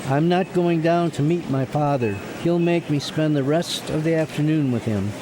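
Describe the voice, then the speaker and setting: A man playing an awkward, earnest, lovestruck young lawyer with a gently rebellious streak in a radio drama. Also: a little high, normal speed, noisy background, lightly acted. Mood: awkward, smitten.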